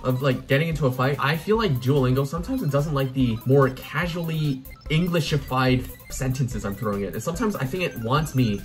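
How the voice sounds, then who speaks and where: A man's voice: medium pitch, brisk, few pauses, faint music behind. A man in his twenties, from South Korea, chatting animatedly and exclaiming at his own mistakes while he works through a language-learning app. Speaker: YouTuber teaching Korean. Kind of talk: casual commentary while playing a language app. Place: small room indoors at night.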